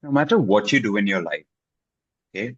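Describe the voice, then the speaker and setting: Husky-voiced man, encouraging tutor. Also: lowish voice, expressive, a little fast, no room sound, highs cut off. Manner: encouraging, repeats himself for emphasis with "okay?" and "right?". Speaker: English tutor. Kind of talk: casual video-call conversation.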